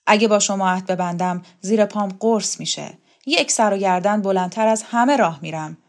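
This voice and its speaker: A woman's voice: mid-range voice, lightly acted. A woman voicing a proud, hot-tempered tough female fighter in an audiobook, coarse and boastful. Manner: swearing, growling, boastful.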